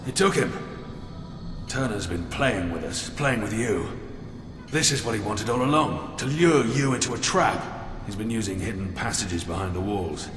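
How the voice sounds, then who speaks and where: Man acting a grim, low-voiced officer in an echoing hall. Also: normal speed, close to an everyday voice. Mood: grave, urgent. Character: grim, scheming military prison officer, outwardly loyal. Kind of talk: video game drama acting. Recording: echoey hall, distant, music underneath.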